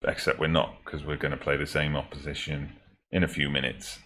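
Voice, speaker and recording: A man casually commentating on his own football management game, chatty and wry, his throat still a little raspy after the flu. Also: extremely low pitch, level tone, a little fast, bright, forceful tone, a little hiss or hum. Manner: chatty, self-correcting, wry asides. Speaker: gaming video host.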